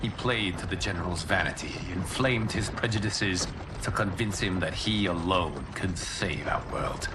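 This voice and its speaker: An actor playing a solemn, dutiful protector of a realm in a video game, speaking formally and gravely. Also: male voice, low voice, background noise, natural voice. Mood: grave, resolute. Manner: formal, grave, measured speech. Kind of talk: dramatic video game cutscene acting.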